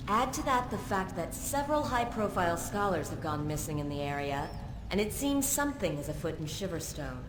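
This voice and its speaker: A woman's voice: on the low side, very animated, a little fast. A woman playing a cool, determined informant in a video game, speaking in a direct, formal, matter-of-fact way. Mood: serious, determined. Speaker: game character. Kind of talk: dramatic video game voice acting. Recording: echoing space with clear background noise.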